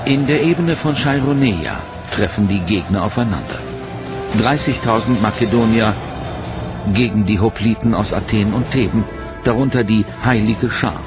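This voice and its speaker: A man narrating a history documentary from a script, in a measured, dramatic way. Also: fairly low pitch, over music.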